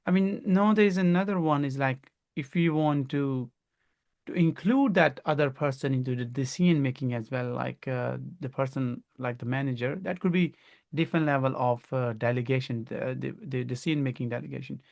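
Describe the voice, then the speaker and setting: A man's voice: medium pitch, normal speed, creaky, a little mellow. A middle-aged man from Pakistan with a Pakistani accent, hosting a conversational interview and often rephrasing as he searches for words. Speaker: podcast host.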